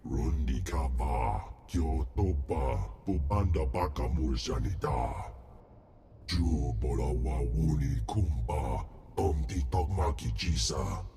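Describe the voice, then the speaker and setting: An actor playing a huge male alien Hutt crime boss, speaking an invented alien language in a booming guttural, self-important way for a video game. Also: lively intonation, slow pace, vocal fry, warm tone, a little hiss or hum, exaggerated voice. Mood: ponderous.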